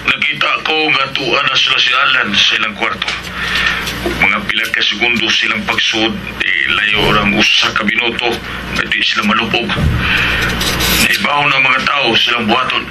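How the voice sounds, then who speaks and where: A man's voice: mid-range voice, fairly even intonation, moderate pace, lightly acted, rough recording. A man playing a watchful henchman who reports to his bosses in a radio action drama. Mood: reporting. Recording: radio drama with loud music bed.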